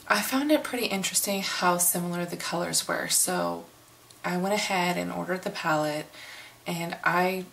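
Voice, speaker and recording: Middle-aged woman, hoarse from a cold, gravelly, lowish voice, chatty makeup review, faint hiss behind. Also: fairly bright tone. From United States. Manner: unhurried, chatty, many fillers. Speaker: beauty vlogger. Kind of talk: casual product review.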